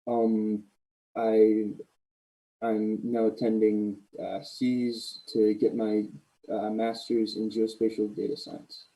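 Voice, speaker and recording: A man in his twenties from Massachusetts, a graduate student reading a prepared presentation over a video call, measured with hesitant fillers. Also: fairly low pitch, monotone, mellow, low-quality recording.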